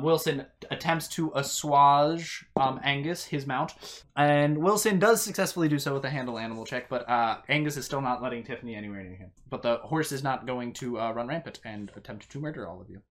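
A man's voice: medium pitch, fairly bright tone. A man acting as game master, narrating a tabletop game in a casual, conversational style.

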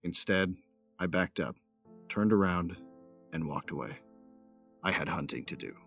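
A man's voice: low-pitched, animated, unhurried, creaky, a bit bright, faint music behind, heavily acted. An actor playing a brooding, lovesick but determined young man, narrating his own story in an earnest, intimate audio drama style. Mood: longing, resigned. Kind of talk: first-person audio drama narration with dialogue.

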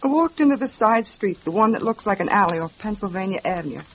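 A woman's voice: medium pitch, animated, fast, husky, poor audio. An actress playing a frightened, bruised young woman who answers a police officer in a tired, defensive way, in a 1950s radio drama. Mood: shaken.

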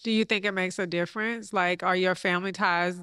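Bright upbeat woman podcast host. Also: lowish voice, fairly even intonation, a little fast, smooth. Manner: enthusiastic, upbeat, says "like" often. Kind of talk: casual interview.

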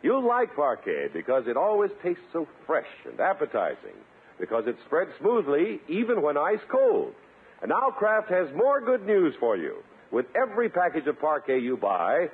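A man's voice: on the high side, very animated, normal speed, breathy edge. A man working as a radio announcer, reading scripted introductions and sponsor copy in a polished, emphatic 1950s style. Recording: narrow-band 1950s radio recording.

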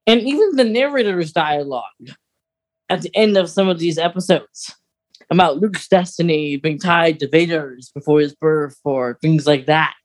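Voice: medium pitch, normal speed. A podcast co-host talking in a casual, conversational style about things they enjoy as a fan.

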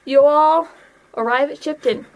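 A woman's voice: high voice, unhurried, rough recording. A woman hosting and narrating a tabletop game as game master, in a casual style. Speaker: game master and podcast host.

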